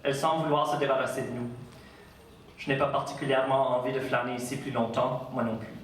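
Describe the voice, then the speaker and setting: A man with an Acadian French accent, an author giving a public talk and reading his own writing in a measured, unhurried way. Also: mid-range voice, level tone, slight background noise. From Acadia, Canada. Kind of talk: lecture and literary reading. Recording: very echoey hall, distant mic.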